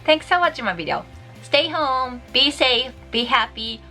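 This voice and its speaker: A woman's voice: sits high, very animated, measured pace, sharp tone, soft background music. Bubbly Japanese-accented woman teaching. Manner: bubbly, chatty, laughs often. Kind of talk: casual video lesson.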